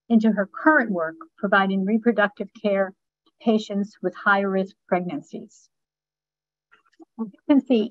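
An older woman, in her sixties or beyond, moderating a panel over a video call with measured, deliberate phrasing. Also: medium pitch, no room sound. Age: 60s or older. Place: office with bookshelves, indoors. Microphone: computer mic on video call. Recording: dull, band-limited video call audio.

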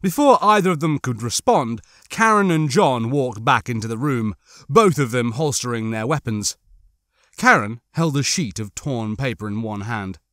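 A man's voice: medium pitch, lively intonation, moderate pace, fairly bright tone, no echo, clean studio sound. A man narrating a horror novel as an audiobook, reading a dramatic scripted story.

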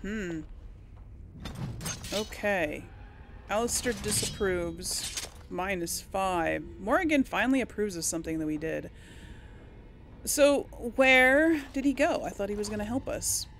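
A woman giving casual let's play commentary and reading game text aloud, with a creaky voice. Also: medium pitch, expressive, unhurried, long pauses, music in the background. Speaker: gaming host. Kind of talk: reads game text and dialogue choices aloud.

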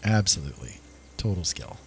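Low-voiced man casually narrating his gameplay. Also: expressive, a little fast, gravelly, slight background noise. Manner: playful, chatty, talks to himself. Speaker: gaming video host.

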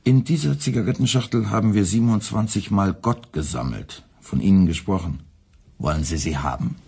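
Husky, meticulous young radio editor. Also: male voice, deep voice, warm tone, heavily acted. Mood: dry, composed. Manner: formal, meticulous, deliberately enunciated. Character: dutiful young male radio editor, politely precise, quietly needling. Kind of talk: radio play dialogue.

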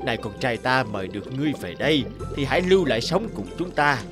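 A man plays a benevolent old king of the sea in a cartoon. His delivery is stately and magnanimous, with varied pitch over music. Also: very animated, quick pace, close to an everyday voice. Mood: gracious, stately. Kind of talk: cartoon dialogue.

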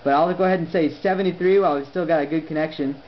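Guy on ham radio, kinda high voice, talks deliberately, muffled lo-fi audio. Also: fairly even intonation. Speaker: amateur radio operator. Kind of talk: conversational ham radio exchange. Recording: dull lo-fi sound, faint noise.

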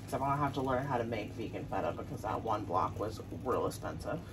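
A woman's voice: a bass voice, lively intonation, quick pace, noisy background. A woman chatting casually to viewers as she cooks in her home kitchen, talking through each step as she works. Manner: chatty, talks while cooking, addresses viewers. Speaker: home cooking vlogger. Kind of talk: casual cooking vlog chat.

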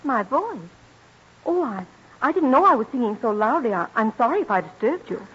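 Casting: shy, courteous teen girl for vintage radio play; high, lively, swooping delivery. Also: slightly rough, heavily acted. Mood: shy, apologetic. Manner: hesitant, halting, well-mannered. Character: polite, modest fifteen-year-old girl singer and pianist. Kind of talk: old-time American radio drama acting. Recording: muffled, lo-fi old radio broadcast.

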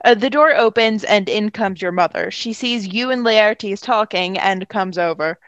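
A woman's voice: mid-range voice, unhurried, fairly bright tone, no echo, highs cut off. A woman acting as game master, narrating an improvised tabletop story in a casual style.

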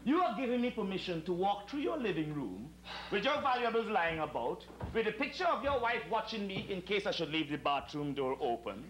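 A high-pitched middle-aged Trinidadian male servant in a stage comedy, sly and wordy beneath his deference. He speaks with elaborate mock solemnity. The sound is a roomy, noisy old tape of a theatre performance. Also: sing-song, fairly quick, fairly bright tone. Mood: incredulous, declaiming. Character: deferential yet sly, verbose retired calypsonian hotel servant. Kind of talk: live stage comedy acting. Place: theatre stage before an audience. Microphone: distant camera microphone.

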